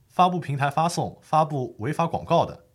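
A man's voice: fairly high pitch, lively intonation, moderate pace. A man playing an earnest lawyer who formally explains the law in a scripted educational radio drama. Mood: firm, explanatory. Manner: formal, didactic. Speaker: legal expert host.

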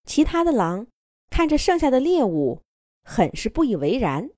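A woman narrates a children's fairy tale with expressive, playful delivery. Her voice sits a bit high and moves lively up and down. The recording is dry and close to the mic. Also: normal speed, dry recording. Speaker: storyteller.

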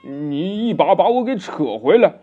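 A man's voice: high-pitched, very animated, normal speed, husky, full character voice. A man voicing a cowardly, whining pig-man disciple who blubbers and hesitates, in a storybook telling. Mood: nervous bluster. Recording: dull lo-fi storytelling recording with music.

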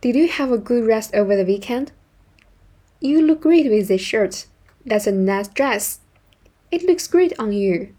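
Female language tutor, slightly high and relaxed in tone, presenting scripted example phrases for learners. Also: a little slow, long pauses. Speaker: English teacher.

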